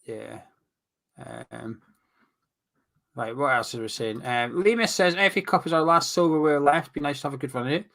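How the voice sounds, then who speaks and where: A man's voice: a little high, expressive, moderate pace, gravelly, long pauses, fairly bright tone. A man co-hosting a casual live football chat, reading out viewer comments and teasing his co-host. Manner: reads out comments, teasing banter.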